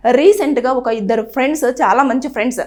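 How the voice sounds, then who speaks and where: A woman's voice: sits high, quick pace, darkish tone. A woman, presenting an earnest explainer that advises the viewer and acts out what people say. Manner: earnest, advising, repeats phrases and acts out quoted speech. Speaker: news presenter. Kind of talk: explainer to camera on a legal topic.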